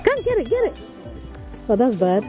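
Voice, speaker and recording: A woman's voice: on the high side, wildly swooping pitch, moderate pace. A young woman filming a family vlog at home and in a backyard, laughing often as she coaxes a toddler along. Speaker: family vlogger. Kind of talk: casual home vlog narration. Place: family house and backyard lawn. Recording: background music over the audio.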